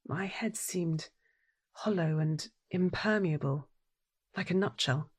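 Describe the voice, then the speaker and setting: An actress playing a troubled, withdrawn wife who has lost her hearing, narrating an audio drama in a breathy, deliberate, introspective way. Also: on the low side. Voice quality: breathy, husky.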